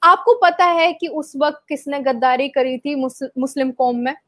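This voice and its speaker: Impassioned high-voiced woman from Kashmir. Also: steady tone, normal speed, fairly bright tone. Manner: impassioned, argumentative, rhetorical questions with many hesitations. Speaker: interview guest. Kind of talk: heated debate answer.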